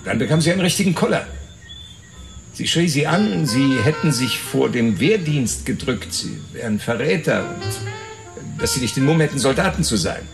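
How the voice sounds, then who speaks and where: An actor playing a slick, crude, cynical fixer, talking tough and coarse in a noir radio drama. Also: male voice, mid-range voice, expressive, moderate pace, vocal fry, over music. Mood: matter-of-fact recounting.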